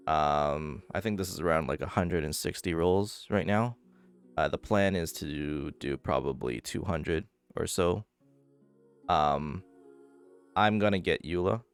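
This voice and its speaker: A man's voice: deep voice, unhurried, clear, resonant tone, soft background music. A man talking casually as he streams a video game, commenting live on what he is doing.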